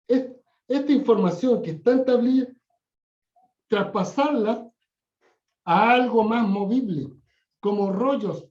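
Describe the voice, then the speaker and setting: A teacher lectures a Bible class slowly and deliberately, circling back to repeat phrases and fix false starts. The voice is mellow rather than bright. It was captured in a reverberant room on a dull, lo-fi recording. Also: mid-range voice. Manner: repeats phrases, frequent self-corrections. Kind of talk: classroom lecture on scripture history.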